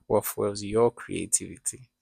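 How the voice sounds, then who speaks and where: Brief: young male podcast host, lowish voice, dry close sound, meandering filler-laden chat. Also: steady tone, measured pace, vocal fry, a little mellow, no room sound. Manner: rambling, filler-heavy, reflective. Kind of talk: casual chat.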